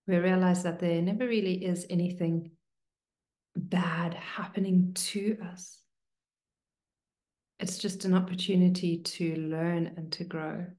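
Slow, hushed woman guiding meditation, roomy sound. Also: lowish voice. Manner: hushed, soothing, with long pauses between short phrases. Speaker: meditation teacher. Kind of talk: guided meditation, slow and spoken to listeners.

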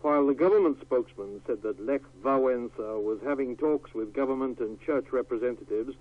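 A man's voice: mid-range voice, fairly quick. A man reading the news as a radio newsreader. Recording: tinny narrowband radio broadcast.

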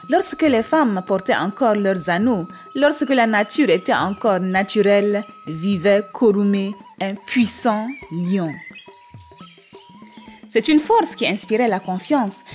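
A woman storyteller reading a scripted African folktale for an animated story. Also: medium pitch. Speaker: storyteller, narrator. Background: music.

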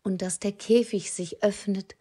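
A woman narrating an audio drama in a subdued, measured storytelling manner. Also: mid-range voice, steady tone, mellow, breathy edge.

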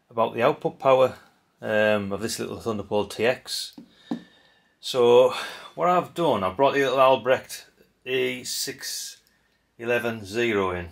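A man's voice: medium pitch, vocal fry. A man, hosting a casual hands-on radio demo at a workbench, chatty and rambling with lots of fillers. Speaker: radio hobby channel host. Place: indoor workbench room.

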